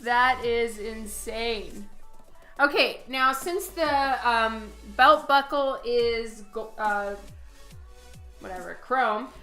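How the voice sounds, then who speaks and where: A woman streaming her digital painting, hoarse from a cold with her voice half gone, chatting casually with viewers and laughing often. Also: on the high side, extremely slow, pushed and bright, faint music behind. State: hoarse from a cold, voice partly lost. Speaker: digital comic artist streaming. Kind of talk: casual livestream commentary while painting.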